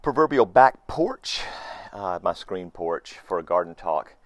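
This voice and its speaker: A man in his late fifties, a pastor, giving an informal, earnest sermon from his screened porch, his voice hoarse. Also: sits low, very animated, unhurried, no echo. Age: 50s. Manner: earnest, reflective, with frequent restarts.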